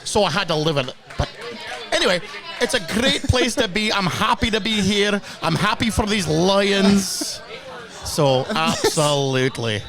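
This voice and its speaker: A man's voice: sits high, expressive, a little slow, crowd noise. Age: adult. Sex: man. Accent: put-on Scottish. Figure: a boisterous Glasgow football fan, rambling and jokey. Acting: 5. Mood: boastful. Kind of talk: casual banter while watching a game.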